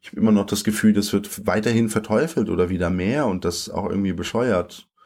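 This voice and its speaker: A man's voice: lowish voice, brisk, darkish tone. A man in his late twenties from Germany, a poet talking things over in an interview, thoughtful and searching, with frequent fillers and restarts. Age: late 20s.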